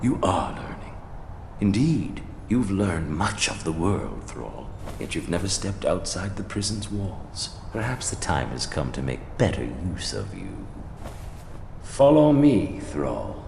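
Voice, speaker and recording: A man playing a haughty, cruel human lord and slave master in an animated fantasy game, pompous, condescending and menacing in a theatrical way. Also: low voice, slow pace, gravelly, a little hiss or hum, full character voice. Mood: condescending, smug. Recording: echoey hall reverb under music.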